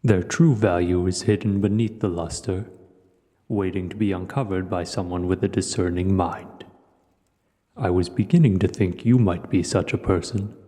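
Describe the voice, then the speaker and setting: Man voicing a deep, cultured dragon in cavernous reverb. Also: fairly even intonation, mellow, lightly acted. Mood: indignant, proud. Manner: measured, formal, haughty storyteller. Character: proud, cultured male dragon hoarder, huge and measured. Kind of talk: audio roleplay, scripted monologue to the listener.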